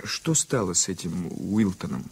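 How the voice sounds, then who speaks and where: An actor playing an earnest, polite, doubting American journalist in a radio play, with formal, hesitant speech. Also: male voice, deep voice, lively intonation, moderate pace, slightly rough, exaggerated voice. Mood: courteous, shaken. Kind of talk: 1980s radio play acting.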